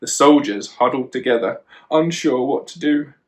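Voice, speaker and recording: A man's voice: mid-range voice, normal speed. A man reading a children's storybook aloud, with chatty asides to a child. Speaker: children and youth worker, storyteller.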